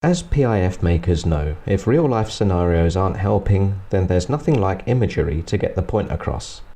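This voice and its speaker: A man presents a scripted comic review in a low, mellow voice. His delivery is dry and sarcastic, like a wry commentary on what he has been watching. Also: animated, normal speed. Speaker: presenter of a film review series. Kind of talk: scripted comedic review narration.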